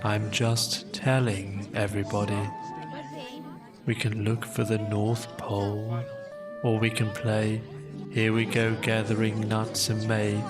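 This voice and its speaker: A storybook narrator voicing a gloomy, grumbling old donkey who complains slowly and with resignation. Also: mid-range voice, music in the background, natural voice. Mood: resigned. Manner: slow, flat, resigned complaining.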